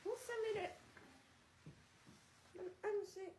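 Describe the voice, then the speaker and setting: A woman hosting a read-aloud, moving between narration and casual, expressive, chatty asides. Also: extremely high pitch, slow pace, very noisy. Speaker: host reading a book aloud on a live stream. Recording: roomy echo, distant microphone.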